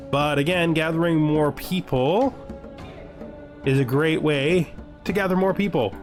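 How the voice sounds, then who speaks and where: A man giving casual let's-play commentary, thinking aloud in short remarks and at times reading game text out. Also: a little high. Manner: thinks aloud in short remarks, role-playing along in the first person. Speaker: gaming video host playing and commenting.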